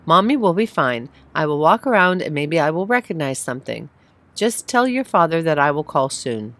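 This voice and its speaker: A woman's voice: deep voice, sing-song, moderate pace, fairly bright tone, dry recording, exaggerated voice. A woman reading a storybook, voicing a frightened, lost immigrant mother who is anxious, pleading and trying to soothe. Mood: anxious soothing. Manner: pleading, breathy urgency when distressed.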